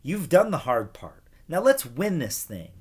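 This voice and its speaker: A man voicing an excitable, scheming little kindergarten boy with a bossy, enthusiastic delivery in a storybook reading. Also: a little high, measured pace, husky, pitched above the performer's own voice, heavily acted. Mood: eager. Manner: enthusiastic, bossy, shouting announcements.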